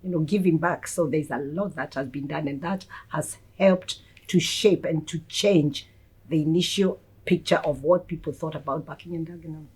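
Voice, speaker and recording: Middle-aged councillor, woman, husky low voice, earnest. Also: darkish tone, rough recording. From Kenya. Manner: measured, earnest, with many "you know"s. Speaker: local councillor. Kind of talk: panel discussion.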